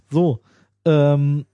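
A man's voice: on the high side, warm tone, no room sound, highs cut off. A man co-hosting a casual tech podcast, joking and rambling with lots of fillers and restarts. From Berlin. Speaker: podcast co-host.

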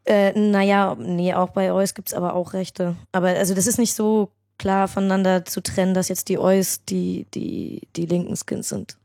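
A woman's voice: mid-range voice, level tone, normal speed, creaky, few pauses, warm tone, no room sound, studio quality. A woman guest on a podcast, talking casually and hesitantly with many fillers. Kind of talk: casual interview chat.

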